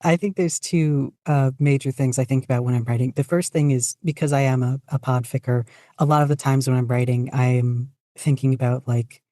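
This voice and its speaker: Dark mellow voice, interview guest, casual, measured, reflective, close dry recording. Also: medium pitch, steady tone, no room sound. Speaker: podcast guest, fanfiction writer.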